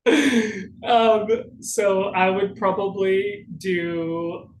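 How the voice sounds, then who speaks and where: Slow-paced man in an echoey room. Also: high-pitched, fairly even intonation. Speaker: podcast co-host. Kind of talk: casual chat, reading out and answering questions. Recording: echoey room, faint noise.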